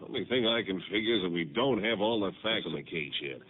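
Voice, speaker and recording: Folksy, animated Western sheriff, vintage radio. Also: male voice, sits low, fast. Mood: baffled. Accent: American, rural Western. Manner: folksy, plain-spoken, animated. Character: folksy, puzzled country sheriff, male sidekick. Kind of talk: 1950s radio drama acting. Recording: noisy, narrow-band old radio recording.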